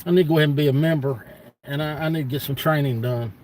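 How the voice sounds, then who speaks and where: A man's voice: a little high, fast talker, darkish tone, a little hiss or hum. A man in his fifties talking casually to livestream viewers in a rambling monologue, blunt and folksy, repeating points for emphasis. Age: 50s. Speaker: livestream host.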